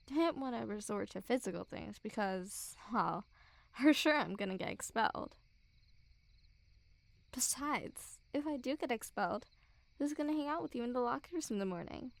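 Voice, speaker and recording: High-pitched teasing bully girl, acted by a woman. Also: gravelly, no room sound. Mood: taunting. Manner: mocking, teasing, giggly. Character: teasing, smug school bully girl, secretly a little caring. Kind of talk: ASMR girlfriend roleplay, intimate one-sided dialogue.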